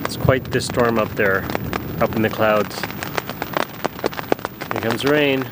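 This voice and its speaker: A man's voice: medium pitch, slow pace. A middle-aged man telling a canoe trip story to a close camera, rambling and restarting his sentences. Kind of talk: casual narration to camera. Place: inside a small tent at night during a storm. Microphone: camera held close in the tent. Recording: outdoor storm noise. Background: wind, rain and pine needles on the tent.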